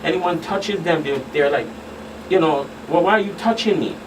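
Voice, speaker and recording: A man's voice: on the high side, normal speed. A man speaking as a guest expert in a radio talk show discussion, emphatic and repeating phrases for stress. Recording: distant mics, loud air-conditioning hum.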